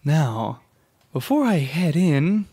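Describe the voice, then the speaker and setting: A man's voice: fairly high pitch, big pitch swings, takes it slowly, warm tone, slight background noise. A man playing a cheerfully murderous, disgruntled office worker in a darkly comedic audio drama, theatrical and sinister. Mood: eerily cheerful.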